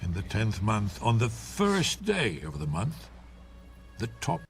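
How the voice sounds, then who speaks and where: A man narrating scripture from a script as an audio Bible, solemn, formal and measured. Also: fairly low pitch, huge pitch swings, background noise. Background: music under the narration.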